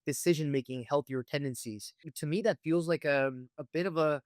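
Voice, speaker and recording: A man with a slightly high voice hosts a conversational interview. He builds long, halting questions and keeps checking in as he goes, in a dry recording with very little room sound. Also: darkish tone, no echo. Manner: halting, wordy questions with repeated check-ins. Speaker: podcast host, interviewer.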